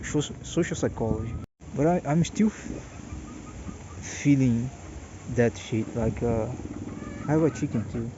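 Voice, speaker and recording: A Brazilian man in his late thirties speaks English with a Portuguese accent, tired and hesitant, often repeating himself as he searches for words. His delivery is very slow. The recording is dull and lo-fi, with clear background noise. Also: mid-range voice. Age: 30s. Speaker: vlogger. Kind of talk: personal monologue.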